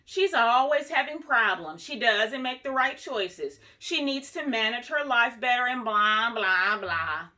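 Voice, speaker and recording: A woman's voice: fairly high pitch, expressive, unhurried, hardly any pauses, bright, forceful tone, pitched above the performer's own voice. A woman voicing a serious, bossy, slightly irritated mother in a children's storybook, firm and no-nonsense. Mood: gossipy, critical. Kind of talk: storybook read-aloud dialogue.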